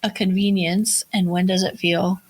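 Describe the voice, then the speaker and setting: A woman's voice: lowish voice, steady tone, moderate pace, slightly rough. A woman with a New Jersey accent chatting casually as a podcast co-host. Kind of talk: casual conversational chat.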